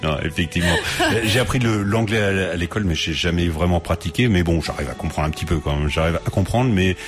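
A man's voice: low voice, quick pace, faint music behind. A man hosting a casual radio interview, chatty, joking and laughing often. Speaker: radio host.

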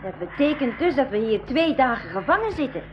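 A very high-pitched young girl in a radio adventure play. She is spirited, anxious and exclamatory. The recording is a slightly dull 1980s broadcast. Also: fairly quick, slight background noise. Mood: curious, concerned. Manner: excitable, exclamatory. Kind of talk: 1980s school radio drama acting in Dutch.

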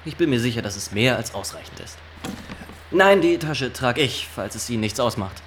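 A man's voice: medium pitch, animated, normal speed, fairly bright tone, natural voice. Guy playing stiff young official in a radio play, formal interrogating, picky and pushy, bit of noise behind. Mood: formally polite. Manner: formal, pedantic, insistent interrogating. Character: stiff, officious young male state inspector questioning farmers. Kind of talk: German radio drama acting.

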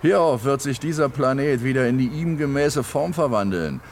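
A man's voice: medium pitch, animated, moderate pace, slight background noise, natural voice. Bitter, sardonic male plotter acted by a man. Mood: brooding. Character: bitter, cynical male plotter dreaming of destruction. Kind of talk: radio drama dialogue.

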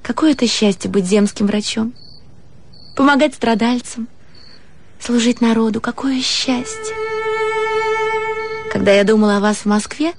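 A woman acting an earnest young romantic in a radio play, gushing and pleading in a husky, emotional voice. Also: fairly high pitch, moderate pace, pauses often, faint music behind, natural voice. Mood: wistful, admiring.

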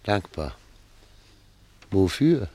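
A brooding, troubled excellency in a radio play. His voice is low and slow, solemn and deliberate, ringing in an echoing room. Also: very animated, warm tone, full character voice. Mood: puzzled, probing. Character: brooding, troubled male dignitary addressed as Excellency. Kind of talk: German radio play acting. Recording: echoing, roomy studio sound.

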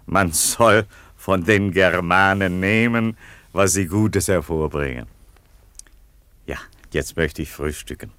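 An actor playing a placid, eccentric Roman emperor in a satirical radio play, unhurried and deadpan. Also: male voice, low-pitched, clean tone, no room sound. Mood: dry, genial.

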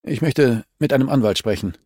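A man's voice: medium pitch, brisk, darkish tone, no echo, studio quality, voice pushed up, lightly acted. A man voicing an arrogant, cornered male murder suspect in a crime audiobook, snapping with defiant indignation.

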